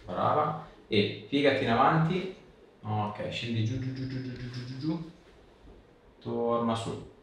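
A man's voice: mid-range voice, slow, pauses often, fairly bright tone. A man in his thirties speaking informally as a chiropractor, guiding a patient with questions and short, repeated commands. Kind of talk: hands-on patient consultation with questions and short instructions. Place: small treatment room indoors. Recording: very echoey small room, distant mic, faint noise.

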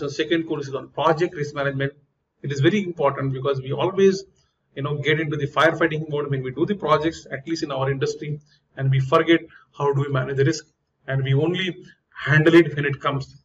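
Man, middle-aged, earnest instructor, slightly high voice, muffled lo-fi audio, faint hiss. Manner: earnest, explains step by step, pointing things out on diagrams. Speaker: HVAC instructor. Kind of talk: technical lesson over slides. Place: small indoor room. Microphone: lapel mic. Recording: dull lo-fi audio with faint noise.

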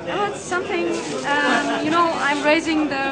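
A woman's voice: high-pitched, brisk, over a noisy crowd. A woman, chatting casually in an interview at a very noisy market. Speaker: market visitor being interviewed. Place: large covered bazaar. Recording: loud crowded market hubbub.

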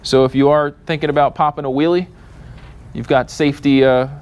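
Upbeat man pitching mobility scooters. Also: fairly even intonation, brisk, a little mellow, clear, resonant tone, muffled recording. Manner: upbeat salesy, reads specs off a sheet. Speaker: mobility store host. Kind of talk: product walkthrough. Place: showroom full of scooters.

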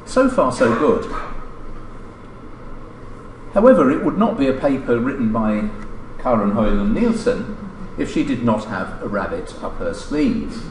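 A man reading a formal academic conference paper aloud in a measured, scholarly way, pausing mid-phrase. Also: mid-range voice, sing-song. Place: indoor lecture hall, at a lectern. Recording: echoey lecture room, distant mic, background noise.

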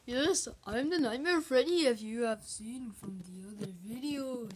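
A woman's voice: fairly high pitch, measured pace, a little hiss or hum, natural voice. A woman voicing a chatty, boastful animatronic bear plush, with a swooping, self-satisfied way of talking. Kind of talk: improvised plush-toy interview.

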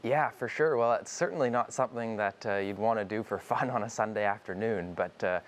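A man's voice: fairly low pitch, fairly quick. A young man from Canada, a filmmaker interviewed from a newsroom on a lapel mic, earnest and full of fillers. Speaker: guest filmmaker. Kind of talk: remote interview. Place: newsroom studio.

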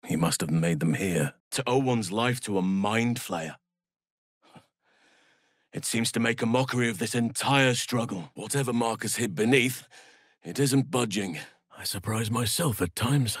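An actor playing a big, gentle elf druid healer in a fantasy game, speaking in a measured, earnest and formal way. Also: male voice, on the low side, breathy edge, no echo, studio quality. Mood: measured, reflective. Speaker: video game character voice actor.

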